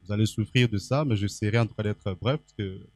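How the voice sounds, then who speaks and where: Chatty low-voiced man on a panel. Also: brisk, no echo. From Équateur province, DR Congo. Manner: chatty, self-deprecating, many fillers and restarts. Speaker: panel guest. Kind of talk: public panel talk. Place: event hall stage. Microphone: handheld microphone.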